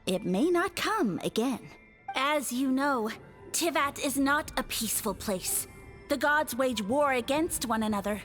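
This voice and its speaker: A woman's voice: high voice, expressive, a little slow, fairly bright tone, faint music behind. A woman voicing a pompous mushroom sage in a video game, speechifying grandly and formally. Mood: grandiose, persuasive. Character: pompous mushroom sage lord demanding worshippers.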